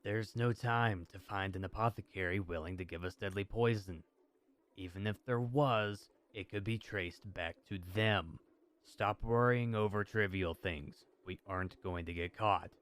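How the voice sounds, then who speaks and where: A man's voice: low-pitched, measured pace, fairly bright tone, smooth. An actor playing a confident male assassin in an audio drama, curt, dismissive and self-assured.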